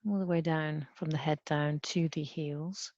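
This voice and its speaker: A woman leading a guided body scan meditation as a mindfulness teacher, speaking gently and unhurriedly with long pauses. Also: sits low, steady tone, no echo. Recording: dull, muffled lo-fi sound.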